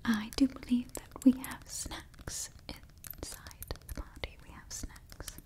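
A woman in her twenties whispering an ASMR roleplay close to the microphone, breathy and repeating her phrases. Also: fairly high pitch, fairly even intonation, slow pace, warm tone. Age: 20s. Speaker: ASMR performer playing a monster party door checker. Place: small indoor setup before a brick backdrop. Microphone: close microphone, face near camera.

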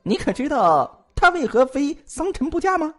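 A man's voice: very high-pitched, very animated, moderate pace, a bit bright, faint music behind, exaggerated voice. A man voicing a talkative, joking young male physician who gossips and pleads comically in a multi-cast audio drama. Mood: gossipy. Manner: bantering, giggly, exaggerated pleading.

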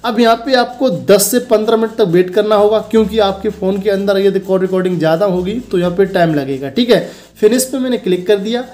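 A young man with a noticeably high voice narrates a casual tech tutorial. He talks straight to the viewer in an instructional way, going step by step and often checking that it is understood. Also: quick pace, darkish tone. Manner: instructional, addressing viewers directly, with repeated check-in tags. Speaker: host of a phone tutorial channel.

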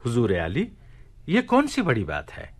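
Animated, courtly vizier. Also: male voice, medium pitch, a little slow, husky, full character voice. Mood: deferential. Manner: formal, deferential. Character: obliging, courtly royal vizier. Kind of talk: radio play acting.

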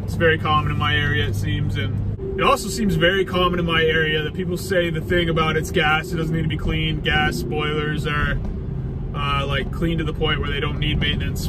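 Young tradesman talking in his van. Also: on the high side. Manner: relaxed, plain-spoken, tradesman's shop talk. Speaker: HVAC technician and vlogger. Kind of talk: casual vlog talk to camera. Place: inside a work van, parked outdoors. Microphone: phone camera mounted on the dashboard. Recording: loud vehicle and outdoor noise behind.

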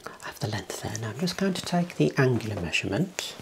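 A man in his thirties doing an ASMR roleplay as an optician, whispering softly with calm clinical instructions into a close clip-on mic. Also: mid-range voice, creaky, background noise. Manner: hushed, unhurried, reassuring clinical instructions. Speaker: ASMR performer role-playing an optician. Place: small indoor room. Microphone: clip-on wireless mic on lapel, close.

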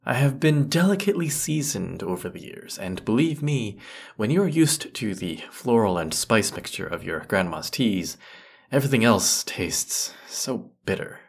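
A man's voice: lowish voice, very animated, unhurried, creaky, breathy edge, exaggerated voice. A man playing a grumpy, haughty century-old teapot spirit in an audio roleplay, scolding the listener with put-upon sarcasm. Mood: haughty, aggrieved.

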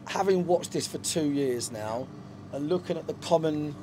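A man's voice: on the high side, normal speed. Middle-aged man, football manager, candid rambling talk, handheld mic, faint outdoor noise. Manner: frank, rambling, many fillers and restarts. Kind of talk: post-match interview. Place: stadium dugout, outdoors. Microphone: handheld reporter mic.

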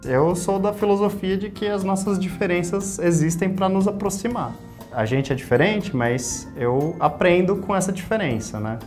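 Brazilian guy from são paulo, chatty interview, lapel mic in a small studio, soft music under it. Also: high-pitched, normal speed. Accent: Brazilian Portuguese, São Paulo. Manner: lively, gestures while talking, many tag questions. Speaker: YouTube creator. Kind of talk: casual interview talk.

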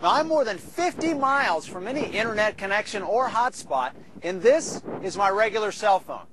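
An American man in his thirties giving an emphatic, persuasive scripted sales pitch to camera. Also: big pitch swings, moderate pace, background noise. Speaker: infomercial presenter. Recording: music bed behind the voice.

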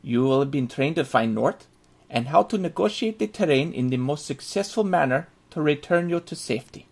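A man playing an earnest military handbook author, narrating in a formal, measured, instructive way for an audio drama. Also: on the high side, expressive, gravelly, a little mellow. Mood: grave instruction.

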